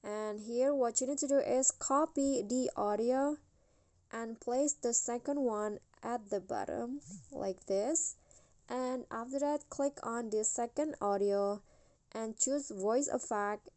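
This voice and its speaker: A woman's voice: fairly high pitch, slow, dark tone. A woman hosting a tutorial, casually narrating an app walkthrough step by step. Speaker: tutorial host.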